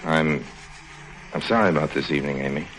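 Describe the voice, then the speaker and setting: A man's voice: very deep voice, brisk, a bit bright, low-quality recording. An actor in an old-time radio drama playing a roguish, charming male adventurer who tells his own story in a wry, understated way. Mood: soft, rueful.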